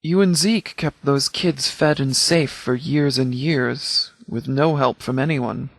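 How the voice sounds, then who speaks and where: An actor playing a stern, principled middle-aged sheriff in a video game, speaking in a formal, grave and deliberate way. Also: male voice, mid-range voice, creaky, dry recording. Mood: approving. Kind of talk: scripted video game character dialogue.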